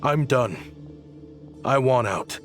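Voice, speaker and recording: An actor playing a remorseful, principled weapons inventor in a dramatic video game, weary and troubled, speaking in a grave, earnest, emotional way. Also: male voice, mid-range voice, animated, slow, faint music behind. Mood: resolute.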